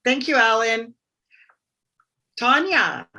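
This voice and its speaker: A woman's voice: fairly high pitch, expressive, slow pace, bright, forceful tone, low-quality recording. Upbeat woman hosting a video meeting. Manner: upbeat, calls on guests by name. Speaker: webinar host. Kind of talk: casual online networking meeting.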